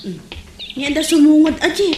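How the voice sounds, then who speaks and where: Woman acting very high, whiny Ilocano traveler. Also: animated, moderate pace. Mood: weary complaint. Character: complaining, exclaiming woman traveler on foot. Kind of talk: comic audio drama acting. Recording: roomy, loud birdsong and noise.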